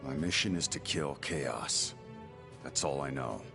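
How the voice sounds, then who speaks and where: A man playing a gruff, single-minded warrior, blunt and terse, in a video game cutscene. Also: rumbling low, animated, a little slow, over music, full character voice.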